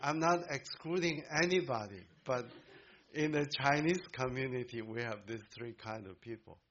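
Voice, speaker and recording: A man born in Korea, preaching a sermon to a congregation in an emphatic way, with halting restarts. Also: mid-range voice, a bit bright, dull audio. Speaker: pastor.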